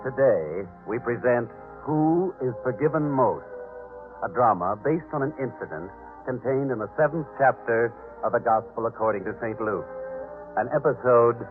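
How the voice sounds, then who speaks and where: Male 1950s radio announcer, an actor, portentous and measured, low register, music underneath, dated thin-band sound. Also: phone-quality sound, close to an everyday voice. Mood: solemn, grand. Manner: solemn, deliberate, portentous. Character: solemn, dramatic male storyteller. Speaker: announcer and narrator. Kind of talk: 1950s radio scripted narration. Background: dramatic music.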